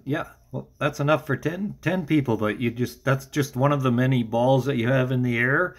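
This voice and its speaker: A man's voice: mid-range voice, moderate pace. A man hosting a casual interview, chatting and putting questions to his guest. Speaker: interview host.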